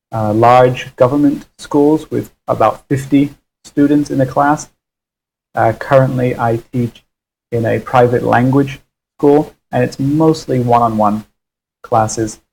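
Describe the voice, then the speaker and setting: A man from the UK teaching a live online English lesson, explaining grammar in a relaxed way with many ums and uhs, on muffled livestream audio. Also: medium pitch, takes it slowly, creaky, slightly rough.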